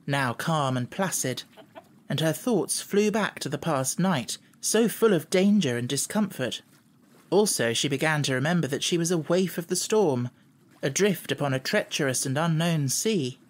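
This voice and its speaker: A man reading scripted storybook narration as an audiobook narrator. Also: on the high side.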